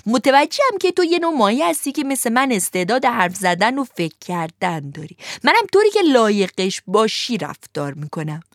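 A woman reading a storybook aloud, voicing a greedy green fisherman who is gloating and ogre-like, and who speaks slowly and mockingly. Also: on the high side, sing-song, no echo, studio quality. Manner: slow, relishing, mocking.

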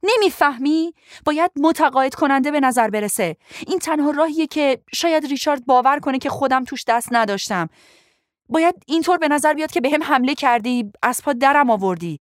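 A narrator plays a sharp-tongued young woman who is hurt and desperate. She snaps out orders through gritted teeth, in a strained, urgent storybook performance, recorded dry and close. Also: a little high, dry recording, lightly acted. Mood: angry, insistent.

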